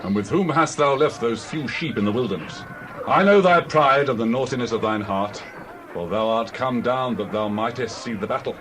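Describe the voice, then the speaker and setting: A man's voice: medium pitch, normal speed, noisy background, natural voice. A man playing an angry, accusing eldest brother, scolding in a dramatized scripture reading.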